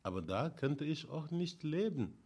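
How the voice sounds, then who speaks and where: A man from Senegal speaks German in a relaxed conversation. His pitch moves around a lot, and he keeps dropping in short agreeing words as he talks. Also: a little slow. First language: not German. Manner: warm, repeats short affirmations, laughs often. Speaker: guest. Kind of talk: casual conversation.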